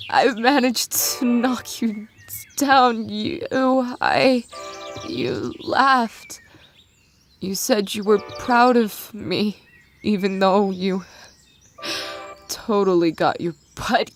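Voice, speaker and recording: A dying monster warrior, slow and halting. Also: female voice, medium pitch. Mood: wistful, fading. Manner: halting, weak, broken phrases. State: struggling to breathe, tearful. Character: dying female monster warrior, frightened, grieving, loyal. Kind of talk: amateur dramatic reading of fan fiction. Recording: music bed with birdsong behind.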